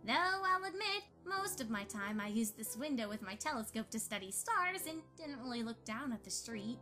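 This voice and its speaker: An earnest, bookish young mother pony, played by a woman in a fan audio drama. The voice is high and forward, with a crisp brightness, over soft music. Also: sing-song, moderate pace. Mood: warm, reassuring. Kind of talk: amateur audio drama acting.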